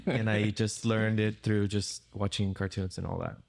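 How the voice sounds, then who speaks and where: Low, flat-voiced man. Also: moderate pace, creaky. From Peru. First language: Spanish. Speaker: pastor. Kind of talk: casual podcast conversation.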